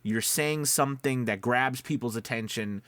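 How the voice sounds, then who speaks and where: A man's voice: mid-range voice, normal speed, a bit bright, smooth, no room sound. A man hosting a casual instructional vlog as a coach, explaining conversationally and often checking in with the listener. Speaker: voice-over coach and video host.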